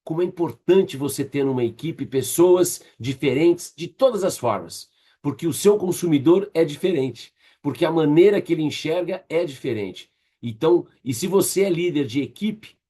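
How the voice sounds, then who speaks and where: A man's voice: mid-range voice, darkish tone, husky. A man with a Brazilian Portuguese accent giving a conversational leadership lecture, addressing his group directly and checking in with short tag questions in a didactic way. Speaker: leadership trainer.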